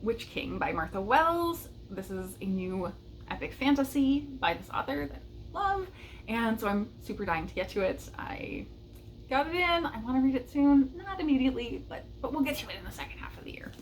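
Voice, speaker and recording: A woman's voice: high-pitched, big pitch swings, moderate pace, a little hiss or hum. A woman chatting casually and enthusiastically as a book vlogger, with a light chuckle in her talk.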